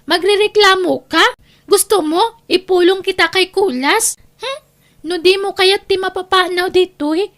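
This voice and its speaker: A woman's voice: very high voice, unhurried, fairly bright tone. A woman playing a brazen, possessive young mistress who taunts her rival in a radio drama. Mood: taunting.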